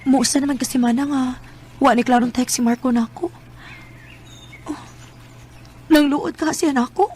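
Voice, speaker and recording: A woman's voice: high voice, steady tone, normal speed, a little mellow, close to an everyday voice. An actress with a Cebuano accent playing an emotive, lovelorn young woman in a radio drama. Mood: wistful. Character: young woman Hope, lovelorn girlfriend turned firm narrator. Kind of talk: Bisaya radio drama acting.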